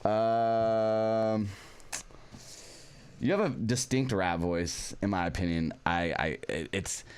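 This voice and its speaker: A man's voice: on the low side, slow, smooth. A young man hosting a casual interview, rambling and self-deprecating, swearing freely and laughing often. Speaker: interview host.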